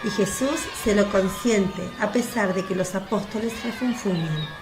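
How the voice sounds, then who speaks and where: A woman's voice: mid-range voice, normal speed, over music. A woman reading scripted story narration as the narrator of a religious radio play.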